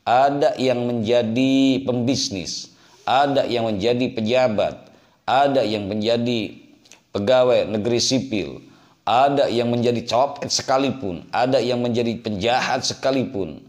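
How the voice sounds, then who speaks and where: A man's voice: mid-range voice, a little slow, darkish tone. A man from West Java preaches to a congregation as an Islamic teacher, explaining didactically with repeated phrases and rhetorical questions. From West Java, Indonesia. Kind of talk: religious sermon to a congregation.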